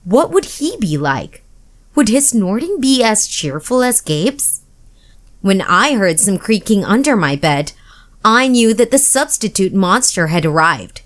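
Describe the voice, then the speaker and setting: A woman storyteller giving an expressive read-aloud of a children's storybook. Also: mid-range voice, a little slow.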